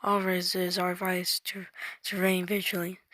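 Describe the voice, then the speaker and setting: A woman's voice: fairly low pitch, completely flat delivery, normal speed, pushed and bright, dry recording, clean studio sound. Woman reading formal, stilted emergency bulletins. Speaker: emergency alert announcer and news anchor. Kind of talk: scripted emergency broadcast narration.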